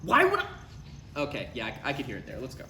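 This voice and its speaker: A man playing an exasperated, defensive son in his thirties in a live comedy table read, arguing in a halting, incredulous way. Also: medium pitch, wildly swooping pitch, sharp tone, exaggerated voice. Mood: argumentative. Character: Ryan, exasperated thirty-six-year-old son, needling and defensive. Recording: roomy live recording, faint background noise.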